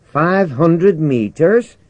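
A man performs a young male space crewman in an audiobook. He sounds wary and cheeky, challenging what he is told. The pitch is lifted well up, and the sound is close and dry. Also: lively intonation, measured pace, a little mellow, dry recording. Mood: alarmed, challenging. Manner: skeptical, challenging. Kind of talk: audiobook character dialogue.

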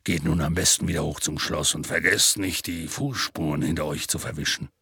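A man voicing a big, good-natured half-giant in an audiobook, with a gravelly, raspy voice and a hesitant, colloquial drawl. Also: extremely low pitch, fairly even intonation, a little fast, pushed and bright, no room sound, studio quality, pitched below the performer's own voice, full character voice. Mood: tired, reassuring. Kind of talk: audiobook character voice.

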